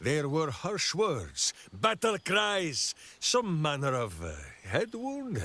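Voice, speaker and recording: A man's voice: mid-range voice, pushed and bright, exaggerated voice. A man playing a big, boisterous, simple-hearted warrior in a video game, theatrical and emphatic. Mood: earnest, puzzled. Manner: theatrical, speaks of himself in third person, slow and emphatic. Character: big, boisterous, simple-hearted male ranger warrior devoted to his hamster. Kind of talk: video game character acting.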